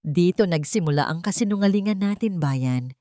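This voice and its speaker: A woman's voice: lowish voice, animated, moderate pace, mellow, dry recording, clean studio sound, lightly acted. A woman voicing a devoted, determined woman in love in a romance story, with earnest emotion. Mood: tender, emotional.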